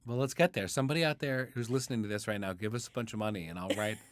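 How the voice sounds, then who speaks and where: A man's voice: lowish voice, racing. A man hosting a casual podcast interview, informal and joking in the way he talks.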